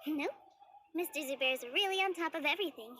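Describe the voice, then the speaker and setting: A woman voicing a kind, earnest young female theater dancer in a video game, speaking in a gentle, friendly manner. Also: sits high, brisk, slight background noise. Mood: reassuring. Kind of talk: video game character dialogue.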